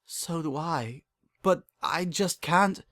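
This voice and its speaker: A narrator voicing a sorrowful, world-weary pony father in a dramatic story, halting and hollow in delivery. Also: medium pitch, fairly bright tone, dry recording, pitched above the performer's own voice. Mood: pained. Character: guilt-ridden, weary old time-traveling stallion father.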